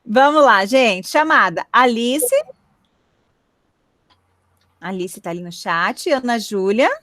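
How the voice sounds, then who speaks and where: A woman teaching an online class from home, her voice set high and captured dry through a computer mic. She explains each rule patiently and keeps checking that her students follow. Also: animated, slow, fairly bright tone, dry recording. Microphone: computer mic on a video call.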